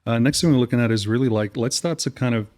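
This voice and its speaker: A man's voice: mid-range voice, fast talker, a little mellow. A man co-hosting a podcast, explaining technical audio design in a conversational way and often checking in with "right?". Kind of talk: casual technical podcast talk.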